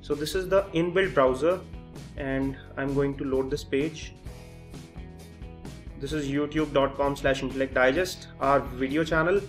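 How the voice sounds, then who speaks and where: A man's voice: mid-range voice, steady tone, unhurried, faint music behind. A man giving a methodical, explanatory phone review commentary while demonstrating. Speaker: tech reviewer, host.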